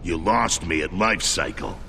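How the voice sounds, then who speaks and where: A man playing a gruff, battle-weary soldier in a video game, blunt and terse with a gravelly voice. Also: low voice, unhurried, pushed and bright, slight background noise, heavily acted. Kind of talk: video game cutscene acting.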